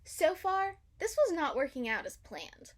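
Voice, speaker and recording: A woman's voice: extremely high pitch, big pitch swings, measured pace. A woman narrating a children's story aloud, expressively and with dramatic emphasis. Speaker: storybook reader.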